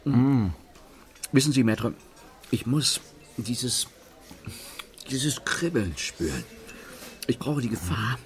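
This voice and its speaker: Thrill-seeking gentleman thief, radio drama: self-dramatising, savouring, wide swings in pitch. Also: animated. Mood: relishing, intense. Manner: savouring, theatrical pauses. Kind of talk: radio play acting.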